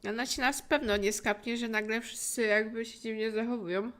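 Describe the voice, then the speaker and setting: A Polish woman streams a game with casual running commentary. Her voice is high and bright. She talks in a chatty, informal, playful way, as if to viewers live. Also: fairly even intonation, fast.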